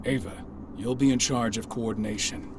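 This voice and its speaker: An actor playing a grave, commanding rebel pack leader in a dramatic video game, speaking with measured authority. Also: male voice, mid-range voice, fairly even intonation, heavy background noise, natural voice.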